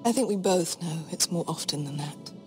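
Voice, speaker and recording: An actress playing a cold, shrewd queen in a fantasy game, imperious and condescending in measured speech. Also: lowish voice, darkish tone, faint music behind, lightly acted. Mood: probing, condescending. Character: cold, shrewd, commanding adult queen, veiled threats. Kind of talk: dramatic fantasy game acting.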